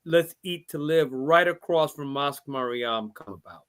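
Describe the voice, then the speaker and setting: A man co-hosting a talk show who speaks to the guest in a familiar, warm way, heard from a distance. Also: on the high side, moderate pace, clean tone. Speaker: co-host.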